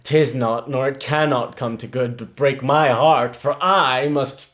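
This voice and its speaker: An actor playing a grieving, bitter young prince in a theatrical monologue, brooding and halting, rising into outbursts. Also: male voice, mid-range voice, fairly bright tone, close to an everyday voice. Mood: bitter anguish.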